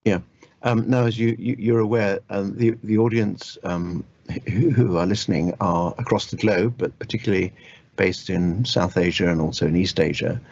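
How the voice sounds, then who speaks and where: A man hosting a health interview, speaking in a measured, tentative way with long roundabout phrasing. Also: sits low, fairly even intonation, gravelly, darkish tone, breathy edge, no echo, muffled recording. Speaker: radio health show host, doctor. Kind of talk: interview questioning.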